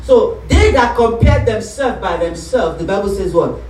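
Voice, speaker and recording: A woman pastor preaching a sermon, calling for responses and rising into shouts. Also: mid-range voice, lively intonation, normal speed, far from the mic, slight background noise. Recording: echoey room, voice from off-mic.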